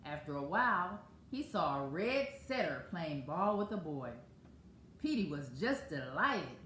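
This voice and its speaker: A woman reading a children's picture book aloud as an expressive storyteller. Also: deep voice, unhurried, a little hiss or hum. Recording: echoey room.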